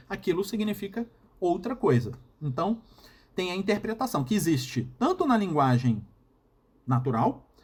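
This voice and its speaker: A man with a Brazilian Portuguese accent and a husky voice, giving a recorded university lecture and often checking in with the class. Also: high voice. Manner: explanatory, frequent checking "tá?" and "né?".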